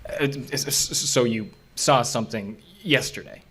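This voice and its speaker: A man's voice: mid-range voice, measured pace, bright, forceful tone, husky. A man acting the part of a skeptical but curious park ranger in an audio drama, speaking conversationally with some hesitation. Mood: curious, probing. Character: level-headed, skeptical male park ranger with quiet curiosity. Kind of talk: naturalistic audio drama dialogue.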